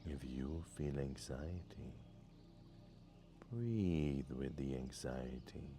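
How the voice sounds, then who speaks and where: A man gently leading a guided meditation in a soothing, deliberate way with long pauses. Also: very deep voice. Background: music.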